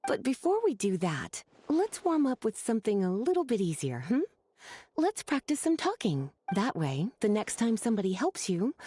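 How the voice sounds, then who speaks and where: A woman's voice: on the high side, mellow, slight background noise. An actress playing a patient, playful female mentor in a video game, speaking in a coaxing, instructive way. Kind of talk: video game character dialogue.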